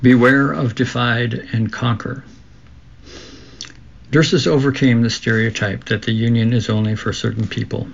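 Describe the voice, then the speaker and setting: Creaky-voiced man reading aloud on radio. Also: medium pitch, little pitch movement, lo-fi sound. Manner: measured read-aloud delivery with occasional stumbles. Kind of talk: scripted reading for a labor radio show.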